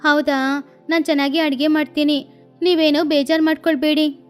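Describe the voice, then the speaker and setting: A woman's voice: sits high, little pitch movement, fairly quick, faint music behind, lightly acted. A woman voicing an obliging female duck cook who talks politely and reassuringly. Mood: eager.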